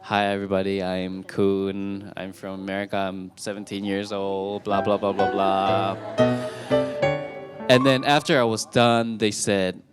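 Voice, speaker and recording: A young man from Thailand telling his story on stage in a relaxed way, with many fillers and restarts. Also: sits low, measured pace, over music. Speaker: talk show speaker. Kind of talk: casual storytelling to an audience.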